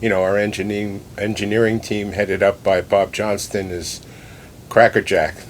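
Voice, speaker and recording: A man's voice: little pitch movement, normal speed. A man answering interview questions conversationally, explaining his points and repeating phrases for emphasis. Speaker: loudspeaker company president, interview guest. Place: listening room in a home. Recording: clear background noise with low rumble.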